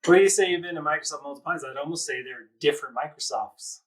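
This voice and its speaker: A man's voice: a little high, fast, rough recording. A man hosting a casual podcast chat, speaking loosely and searching for words with fillers. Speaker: podcast host.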